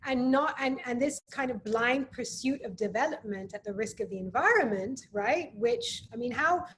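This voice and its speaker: A woman's voice: fairly high pitch, animated, normal speed, a little hiss or hum. A woman podcast guest speaking in a thoughtful, articulate way during a reflective conversation. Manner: thoughtful, articulate, with frequent agreeing interjections.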